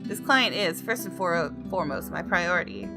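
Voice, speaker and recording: Low-voiced woman game streamer over music. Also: animated, moderate pace, creaky. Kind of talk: let's play, reading game text aloud with exclamations. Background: game music with guitar.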